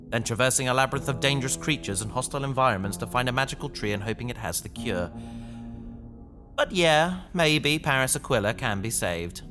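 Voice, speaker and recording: A man playing an anguished young fugitive recording a journal in a sci-fi audio drama, brooding and halting. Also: medium pitch, natural voice. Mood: bleak, resigned. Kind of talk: science fiction audio drama monologue. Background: music.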